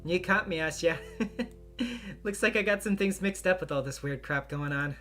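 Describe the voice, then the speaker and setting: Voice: mid-range voice, moderate pace, a bit bright, faint music behind, pitched above the performer's own voice, close to an everyday voice. A performer voicing a chipper, airheaded teenage girl in a visual novel, with a breezy, self-deprecating manner. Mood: chipper, forced cheer.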